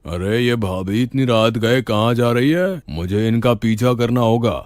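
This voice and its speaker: A man's voice: mid-range voice, unhurried, dry recording, studio quality, lightly acted. A man voicing an anxious, suspicious young villager giving urgent warnings in a cartoon story. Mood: alarmed.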